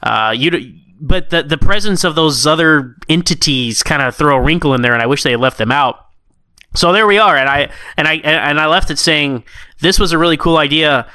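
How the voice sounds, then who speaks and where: Male podcast co-host, crisp and bright, close dry sound. Conversational critic who acts out thoughts. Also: fairly high pitch, fairly quick, no room sound. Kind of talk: casual, conversational story critique.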